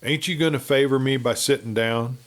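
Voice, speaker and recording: A man voicing a hungry cowboy drifter in a western story, folksy, ingratiating and slyly flattering. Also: medium pitch, normal speed, close to an everyday voice. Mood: smooth, ingratiating.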